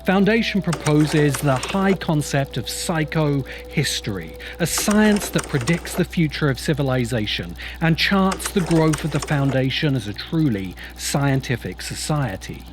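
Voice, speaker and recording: A man narrating a scripted video essay in a measured, emphatic way, as its host. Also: a little high, noisy background. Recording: music behind the voice. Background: background music.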